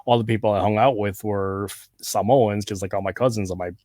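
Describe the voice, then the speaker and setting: Man with a relaxed SoCal drawl. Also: fairly low pitch, dry recording, clean studio sound. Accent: Southern California with a Hawaiian touch. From Southern California. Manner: relaxed, rambling, quick to agree. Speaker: podcast host. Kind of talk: casual conversation.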